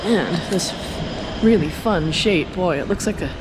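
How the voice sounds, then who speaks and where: A woman's voice: lowish voice, expressive, measured pace. A woman giving casual, off-the-cuff walking commentary as a video host, chatty and rambling with wry asides to herself. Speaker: host and tour guide of a city walk video. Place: out and about at a London skyscraper and its streets. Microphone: handheld camera on a stick. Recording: noisy outdoor ambience with city traffic.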